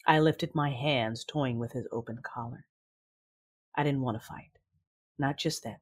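An actress playing a proud, passionate but guarded woman warrior, narrating an audio drama in the first person in a breathy, intimate, measured way. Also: rumbling low. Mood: sensual, tender. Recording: music under the voice. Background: music.

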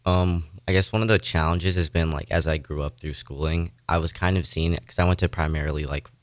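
Male high schooler, extremely deep voice, dry studio sound, casual and slightly hesitant guest answers. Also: steady tone, a little fast, dry recording. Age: teens, high school student. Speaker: student guest. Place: radio studio.

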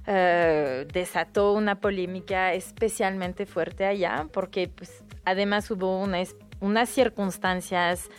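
A woman's voice: medium pitch, fairly even intonation, measured pace, hardly any pauses, soft background music. A woman with a French accent, native French speaker, recommending a novel in a casual interview, often restarting her words. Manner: hesitant, frequent restarts and fillers. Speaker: guest book recommender.

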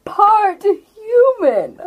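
A woman voicing an elderly, gentle turtle who talks very slowly, with long pauses, in a tabletop game. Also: extremely high pitch, huge pitch swings, narrow, tinny audio, very noisy, exaggerated voice. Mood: slow, explaining. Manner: drawn-out, very slow delivery with long pauses. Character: slow-talking elderly turtle, unhurried and gentle.

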